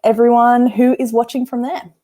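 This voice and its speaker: A woman from Newcastle introducing herself warmly on a live-streamed panel. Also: a little high, level tone, moderate pace. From Newcastle, Australia.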